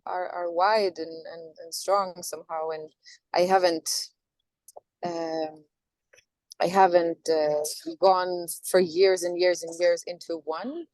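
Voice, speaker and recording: Soft-spoken woman, thoughtful. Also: on the low side, little pitch movement, no echo, rough recording. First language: Norwegian. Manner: thoughtful, halting, with many restarts and fillers. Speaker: singer, interview guest. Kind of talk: reflective interview answers.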